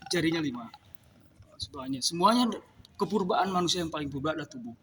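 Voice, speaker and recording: Male panelist, lecturing tone, slightly high voice, noisy room. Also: expressive, fast. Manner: lecturing, reasoning step by step. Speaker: mime discussion panelist. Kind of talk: panel discussion.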